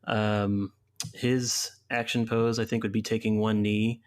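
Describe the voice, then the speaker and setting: Male tabletop player, low register, little pitch movement, informal character introduction. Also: level tone, a little slow.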